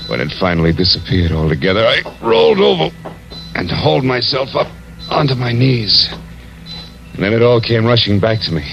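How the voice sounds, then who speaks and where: An actor playing a tough, weary, wry American private detective in 1940s radio style, talking in clipped slang. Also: male voice, low-pitched. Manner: hard-boiled, clipped slang. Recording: dull old radio recording with music.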